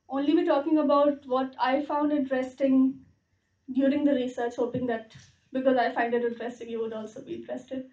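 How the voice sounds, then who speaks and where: A young woman with an Indian accent giving an informal, carefully phrased talk as a guest speaker in an auditorium. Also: a little high. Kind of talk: informal public lecture. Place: lecture auditorium stage. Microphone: podium microphone, picked up from afar. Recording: roomy, dull, distant hall recording.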